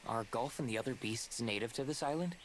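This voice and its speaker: A male actor's youthful hero from a video game, earnest and inquisitive. The voice sits at a medium pitch, with faint ambient noise behind it. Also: a little fast, lightly acted. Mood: curious, friendly.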